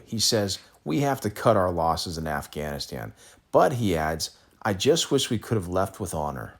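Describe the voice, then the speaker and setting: Low-voiced man reading transcripts.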